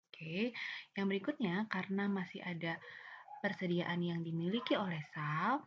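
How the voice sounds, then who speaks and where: A woman with an Indonesian accent giving a step-by-step accounting lesson as a lecturer, in a didactic way, often posing questions and answering them herself. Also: on the low side. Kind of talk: explanatory lesson, walking through worked examples. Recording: dull lo-fi audio with clear background noise.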